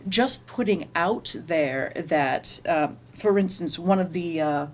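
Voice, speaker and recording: A woman speaking as a theater panelist in an informal discussion, halting at times and emphatic, often repeating words for emphasis. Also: lowish voice, a little mellow. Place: indoor library event room.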